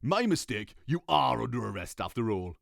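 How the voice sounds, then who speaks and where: Man as officious tomcat, casually bossy, fickle, higher pitch, children's story. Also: sing-song, moderate pace, creaky, no echo, studio quality. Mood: airy, fickle. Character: officious, casually authoritative male cat, fickle army commander.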